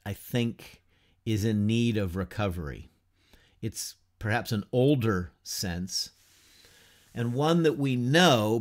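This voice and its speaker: A man in his fifties giving an informal class lecture from a home study, unhurried and conversational, asking rhetorical questions and using many fillers. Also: on the low side. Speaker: teacher of an adult church class. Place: home study lined with bookshelves, whiteboard beside him.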